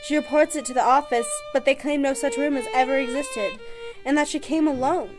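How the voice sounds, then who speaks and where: High-voiced young bride played by an actress, over music. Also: female voice, brisk, natural voice. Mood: uneasy, fascinated. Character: excitable young newlywed bride, increasingly anxious. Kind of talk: radio horror play acting. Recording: music under the voice.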